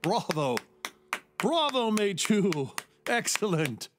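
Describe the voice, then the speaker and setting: Jovial genius doctor played by a guy, highish voice that swings all over, theatrical and courtly, radio play. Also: very animated, slow, over music, heavily acted. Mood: effusive, welcoming. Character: jovial, welcoming genius surgeon in wheelchair.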